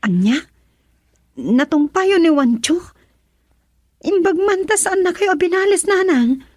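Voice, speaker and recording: A woman with a Filipino accent playing an anguished young daughter in a radio drama, speaking in a soft, breathy, plaintive way. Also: very high-pitched, expressive, unhurried, no room sound, clean studio sound. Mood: anxious. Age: young adult. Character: anguished, tearful young pregnant married daughter. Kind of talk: Ilocano radio drama acting.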